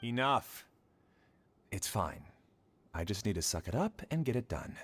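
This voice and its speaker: A man's voice: lowish voice, big pitch swings, measured pace, a bit bright, slightly rough, heavily acted. A man voicing a weary young male student in a video game, speaking in a resigned, subdued way.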